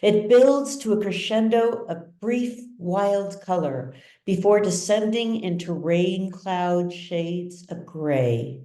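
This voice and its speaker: A woman narrating a literary story as its author, with measured, dramatic delivery. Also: mid-range voice, mellow. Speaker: author reading own novel. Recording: roomy echo, distant mic.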